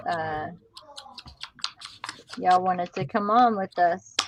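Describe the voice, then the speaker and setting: A woman's voice: on the low side, slow pace. A woman hosting a craft livestream, chatting playfully and teasing her guests while she works. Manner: playful, teasing, laughs a lot. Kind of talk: casual chat while crafting. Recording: dull lo-fi stream audio with faint hiss.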